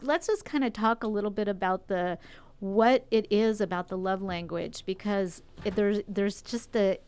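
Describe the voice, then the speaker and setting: Woman, talk show host, enthusiastic, chatty, very clear smooth voice. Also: medium pitch, normal speed, darkish tone. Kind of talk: casual chat.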